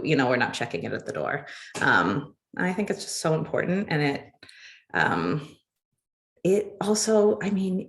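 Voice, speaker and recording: A woman educator from Pittsburgh speaks with a low voice, enthusiastic and emphatic. She talks over a video call. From Pittsburgh, United States.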